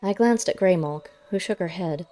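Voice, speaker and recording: A woman's voice: lowish voice, moderate pace, warm tone, faint music behind. A woman reading a story aloud as scripted audiobook narration.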